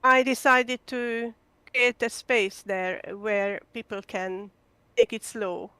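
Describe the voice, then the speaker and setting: A woman's voice: on the high side, steady tone, slow pace, bright, forceful tone, smooth, no echo. A woman from Hungary talking as a guest in a casual chat, hesitant, with many ums and restarts. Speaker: guest artist.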